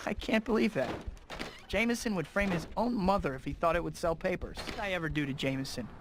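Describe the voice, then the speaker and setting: A man's voice: high voice, fairly quick, noisy background, close to an everyday voice. A man voicing a wisecracking, easily frustrated young male superhero for a video game, with a quippy, sarcastic way of talking. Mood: exasperated. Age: young adult. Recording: music behind the voice.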